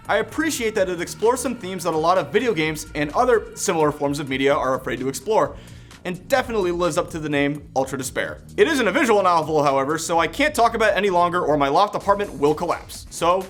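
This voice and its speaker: A man with a high voice delivers video review narration at a brisk clip. He sounds casual and opinionated, with faint music in the recording. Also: fairly bright tone. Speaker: host and reviewer.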